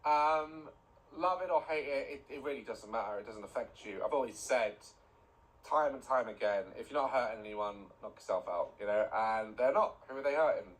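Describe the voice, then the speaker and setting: A man's voice: mid-range voice, moderate pace, rough recording. A man hosting a casual reaction video, blunt and sweary with joking rants, at home with building work nearby. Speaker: YouTube reaction host. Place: home, bathroom being redone nearby. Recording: loud building noise behind.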